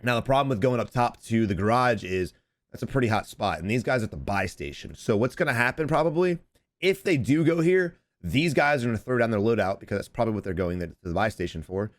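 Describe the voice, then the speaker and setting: Fast-talking man coaching over gameplay. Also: medium pitch, lively intonation. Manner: rapid, repetitive, advice-giving. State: slightly hoarse from screaming on stream. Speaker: gaming coach and streamer. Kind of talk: casual gameplay commentary and coaching. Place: home streaming setup indoors.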